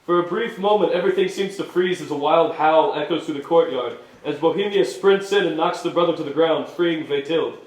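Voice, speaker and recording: A man's voice: fairly high pitch, brisk, few pauses. Echoey male stage narrator. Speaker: narrator reading stage directions. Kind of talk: scripted dramatic narration. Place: indoor stage at an arts venue.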